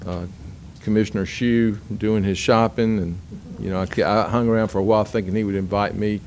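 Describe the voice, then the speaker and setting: Low-voiced man chairing a county meeting. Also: fairly even intonation, a little mellow, a little hiss or hum. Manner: halting, many restarts and fillers. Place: county board meeting room.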